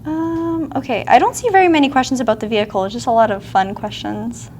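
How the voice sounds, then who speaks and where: A woman's voice: on the high side, fast talker, darkish tone, a little hiss or hum. A woman in her twenties co-hosting a casual livestream in a car showroom, reading viewer questions aloud with friendly fillers, on a lapel mic. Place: large car showroom indoors.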